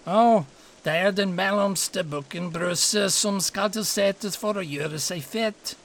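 Casting: male storyteller as medium-sized storybook goat, raised pitch, anxious, pleading, only mildly delicate. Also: takes it slowly, gravelly, fairly bright tone, slight background noise, heavily acted. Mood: meek. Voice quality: less delicate than the smallest goat.

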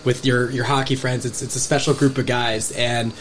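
A man talks in a friendly way as a podcast guest, pausing often on "um". His voice sits in the middle range. Faint background noise runs under the recording. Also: mid-range voice, little pitch movement, fairly bright tone. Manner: friendly, many "um"s. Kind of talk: casual chat.

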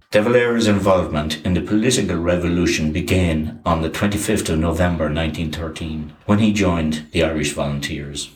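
A man giving a scripted documentary narration in an even, measured reading. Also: sits low, reverberant, rough recording.

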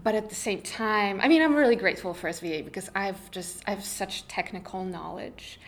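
A woman's voice: mid-range voice, a little fast, slight background noise. A young woman, a guest artist chatting thoughtfully in an interview with many small fillers. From Poland. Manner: thoughtful, with frequent fillers and restarts. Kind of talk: casual interview chat. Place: studio space indoors.

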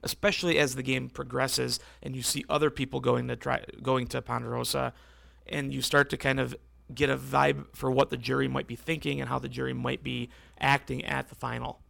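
A man hosting a casual solo podcast, rambling and correcting himself as he talks, with a voice that is going hoarse. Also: mid-range voice, level tone, fairly quick, fairly bright tone, no echo. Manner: rambling, self-correcting, conversational. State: losing his voice, hoarse. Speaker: podcast host.

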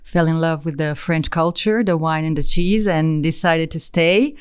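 A woman's voice: sits low, moderate pace, clean tone. A woman, a career coach speaking in an upbeat, enthusiastic way. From Romania.

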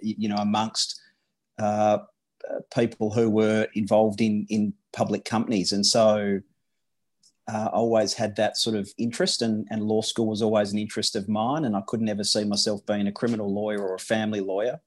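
A man's voice: fairly low pitch, level tone, darkish tone. A man with an Australian accent, a corporate lawyer from Western Australia, answering interview questions with many restarts and fillers. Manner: halting, frequent restarts and fillers. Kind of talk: podcast interview.

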